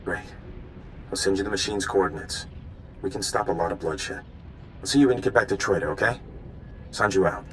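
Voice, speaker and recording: A slightly low male voice with a faint rumble behind it. He is an earnest secret informant in a sci-fi game, talking informally and a little awkwardly, as if pressed for time. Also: brisk, long pauses, a bit bright, poor audio. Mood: relieved, eager. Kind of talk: video game voice acting.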